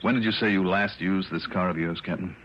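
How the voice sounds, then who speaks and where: An actor playing a keen, steady American investigator who narrates his own case in a 1960s radio drama, crisp and dramatic. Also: low-pitched, fairly quick, slight background noise, lightly acted. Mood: brisk, serious. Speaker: lead character and narrator. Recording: muffled vintage radio recording.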